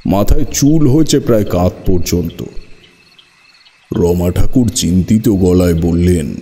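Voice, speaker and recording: A man's voice: low-pitched, unhurried, dark tone, husky. A man narrating a scripted first-person horror story in a dramatic, literary storytelling manner.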